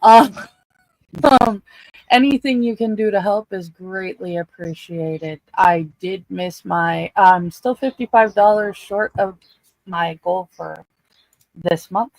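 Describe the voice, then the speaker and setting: A woman's voice: medium pitch, fairly even intonation, slow, a little mellow, no room sound, low-quality recording. A woman co-hosting a livestream, talking in a casual, informal style. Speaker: livestream co-host.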